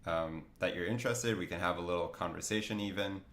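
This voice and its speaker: A man's voice: deep voice, fast talker, fairly bright tone, echoey room. A man chatting casually while drawing on a livestream, rambling with lots of fillers and restarts, a bit sniffly from a cold. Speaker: artist streaming a drawing session.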